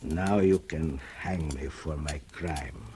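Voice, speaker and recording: A grim old blind cobbler, slow and brooding, old radio drama, soft music behind. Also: male voice, extremely low pitch, husky, full character voice. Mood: bitter. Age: older. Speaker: narrator and lead character. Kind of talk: 1940s radio drama acting.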